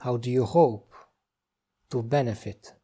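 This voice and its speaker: Mellow-voiced man guiding a meditation. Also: mid-range voice, slightly rough. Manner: gentle, unhurried instruction with frequent pauses. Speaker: meditation guide. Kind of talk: guided meditation.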